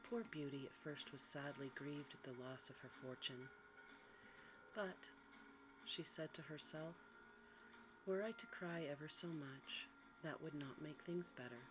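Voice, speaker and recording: A hushed, soft-spoken narrator reads a bedtime tale in ASMR style. The voice sits at a medium pitch and stays quiet and gentle. Clear background noise and ambience run behind the reading. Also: measured pace. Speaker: narrator, storyteller.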